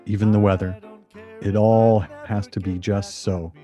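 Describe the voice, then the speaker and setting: Guy acting earnest middle-aged dreamer in a radio play, mellow voice, faint music behind. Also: fairly low pitch, flat delivery, unhurried, natural voice. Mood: earnest, reverent. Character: earnest, competitive middle-aged man, wistful baseball dreamer.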